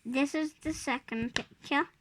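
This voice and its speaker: A five-year-old child reading a picture storybook aloud in a halting, word-by-word way. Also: high voice, background noise. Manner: halting, word-by-word early reader. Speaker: child reader.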